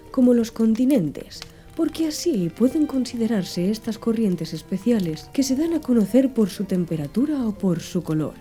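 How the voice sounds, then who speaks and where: A woman narrating an audiobook in a soft, measured ASMR style. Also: on the high side. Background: faint music.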